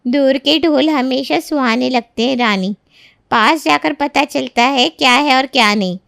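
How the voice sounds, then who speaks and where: A woman's voice: high-pitched, measured pace, gravelly, a bit bright, no echo, studio quality, heavily acted. A woman voicing a scheming, meddling young female friend in a moral story, sly and goading.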